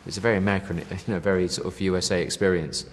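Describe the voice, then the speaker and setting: A very low-voiced man talks fast and loose, piling up fillers and asides as he chats in an interview. He sounds a little distant in a space with a long reverb tail, over a faint background hiss. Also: fairly even intonation. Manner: rambling, many fillers, says "you know" and "mate". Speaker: band member interviewed. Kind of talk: casual interview chat.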